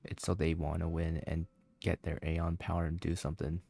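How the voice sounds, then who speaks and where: A man's voice: sits low, monotone, brisk, vocal fry, warm tone. A man giving casual, slangy reaction commentary as he plays a video game, in a laid-back manner.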